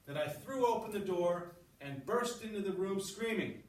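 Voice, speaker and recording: A man's voice: sits high, animated, moderate pace. A man teaching sixth-grade English, reading aloud and explaining as he goes, often checking in with short questions. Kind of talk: classroom read-aloud with running commentary and questions to pupils. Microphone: at some distance from the speaker. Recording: roomy, distant mic with background noise.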